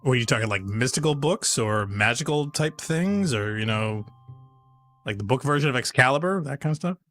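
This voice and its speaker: A man's voice: medium pitch, lively intonation, moderate pace, a bit bright, faint music behind. A man co-commentating on a game in casual chat.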